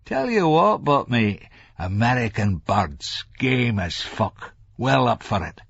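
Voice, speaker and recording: A man's voice: mid-range voice, very animated, takes it slowly, vocal fry, fairly bright tone, breathy edge, no echo, lo-fi sound, pitched above the performer's own voice, full character voice. A man voicing a mischievous, leering Scottish drinker in an audiobook, conspiratorial and wheedling. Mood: conspiratorial, boastful.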